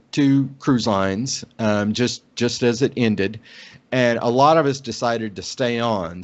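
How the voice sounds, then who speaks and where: A middle-aged American man from Oklahoma telling a casual personal story as a podcast guest on a video call. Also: medium pitch. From Oklahoma, United States. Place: indoor room on a video call. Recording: dull lo-fi video call audio.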